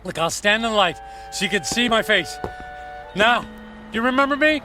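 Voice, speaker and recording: A man's voice: high-pitched, animated, moderate pace, music in the background. An actor playing an emotional, desperate man in a radio drama, agitated and prone to stammering. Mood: angry, accusing. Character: angry, desperate man who believed himself doomed.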